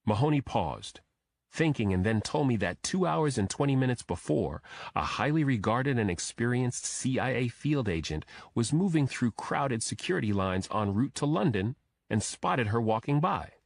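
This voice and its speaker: A man's voice: medium pitch, sing-song, moderate pace, vocal fry, no room sound. A man reading a scripted thriller audiobook as its narrator.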